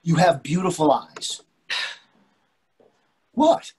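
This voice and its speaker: A man's voice: fairly high pitch, big pitch swings, slow pace, a little mellow, a little hiss or hum. A man in his sixties playing a grumpy, argumentative, hungry husband in a readers theatre play. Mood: defensive. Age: 60s. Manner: exasperated, argumentative. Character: grumpy, hungry middle-aged husband out at dinner. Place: indoors on a video call.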